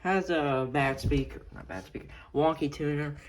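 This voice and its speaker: A man giving a casual, hands-on show-and-tell as a collector, rambling and often correcting himself as he goes. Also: fairly high pitch. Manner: halting, rambling, self-correcting. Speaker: radio collector showing a collection. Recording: noisy room with clicks.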